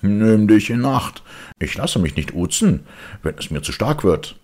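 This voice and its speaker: A man voicing a callous, quick-tempered village man who talks in a brusque, scolding way. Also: on the low side, very animated, darkish tone, pitched above the performer's own voice. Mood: indignant.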